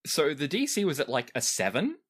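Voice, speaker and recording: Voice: medium pitch, huge pitch swings, a little fast, fairly bright tone. A game master improvising narration for a tabletop role-playing game in a conversational way.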